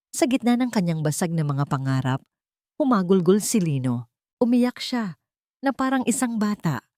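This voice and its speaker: A woman reading a scripted dramatic story in measured, emotive short phrases. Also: medium pitch, warm tone, no echo, clean studio sound. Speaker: story narrator.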